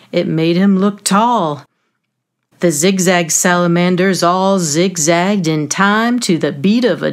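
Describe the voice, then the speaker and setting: A woman author reading a children's story in rhyme, with an expressive, measured storytelling manner. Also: on the low side.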